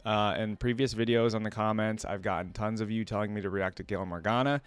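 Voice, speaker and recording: A man's voice: on the low side, fairly even intonation, fast talker, clean tone, no echo. A man hosting a reaction video, talking conversationally and thinking aloud as he comments and reads lore.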